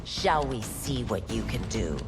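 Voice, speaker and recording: A woman's voice: extremely low pitch, expressive, measured pace, music in the background. A woman playing a determined, dutiful constable and fighter in a cinematic game, resolute and formal. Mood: defiant, determined. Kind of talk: cinematic video game acting.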